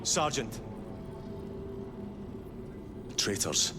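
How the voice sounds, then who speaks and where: An actor playing a stern senior military officer in a video game, with a terse, authoritative manner. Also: male voice, slow pace, music in the background, close to an everyday voice. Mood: stern, demanding. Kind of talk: video game cutscene acting.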